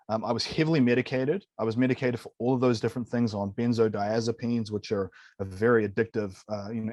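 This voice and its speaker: A man's voice: medium pitch, darkish tone, dry recording. A man in his late thirties from New Zealand with a New Zealand accent, a nutritionist guest telling his story in a candid, enthusiastic way, often saying "man" and "dude". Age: 30s. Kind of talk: casual interview storytelling.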